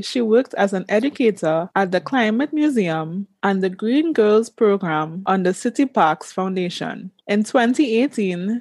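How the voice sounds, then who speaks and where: Woman, podcast host, medium pitch, prepared delivery, dry close recording. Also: dry recording. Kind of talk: scripted introduction and interview questions.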